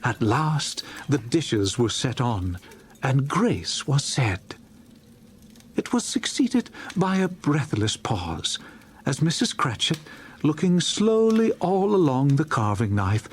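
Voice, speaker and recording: A man narrating a dramatized classic story as an audiobook, in a theatrical, expressive storytelling manner. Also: medium pitch, slow pace, slight background noise.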